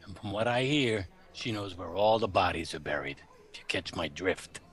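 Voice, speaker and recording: An actor playing an uneasy working-class chauffeur in a noir radio play, confiding in colloquial, hesitant speech. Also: male voice, low voice, moderate pace, a bit bright, slightly rough. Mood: confiding, gossipy.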